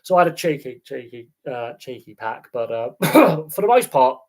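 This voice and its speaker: A man hosting a casual livestream, talking in a rambling, halting way with frequent restarts and a slightly congested, sniffly voice. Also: medium pitch, sing-song. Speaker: sports channel host.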